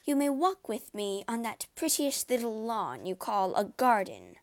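A woman's voice: a little high, big pitch swings, measured pace, dry recording. A woman voicing a domineering elderly aristocratic lady who talks in an imperious, scolding way, raised above her own voice.

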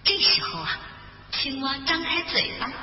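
A woman telling a children's fable as an animated bedtime storyteller. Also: high voice, normal speed, heavy background noise. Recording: echoey long reverb, muffled, music behind.

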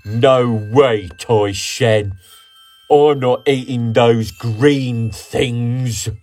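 A man's voice: medium pitch, fairly even intonation, drawn-out words, darkish tone. A man voicing a stubborn young male T-Rex in a children's storybook, whiny and grumbling.

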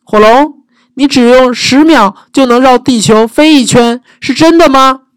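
A man doing a high eager storybook princess. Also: a little slow, clean tone, no room sound. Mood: shouting. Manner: eager, exclaiming, flattering. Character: clever brave young princess, flattering and cheering.

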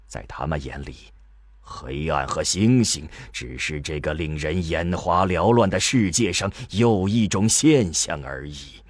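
An actor playing an old scholar in a radio drama, patiently explaining to a youngster in a measured, halting, lecturing manner. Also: male voice, extremely low pitch, no room sound, studio quality. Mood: patient, grave.

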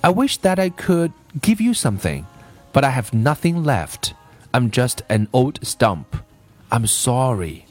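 A loving female apple tree from a storybook, as a male reader plays her, speaks with gentle, apologetic generosity. The pitch swings expressively as she offers all she has. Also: sing-song, unhurried, soft background music. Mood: sorrowful, apologetic. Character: loving, self-giving female apple tree, growing old and weary. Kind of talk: storybook character voice.

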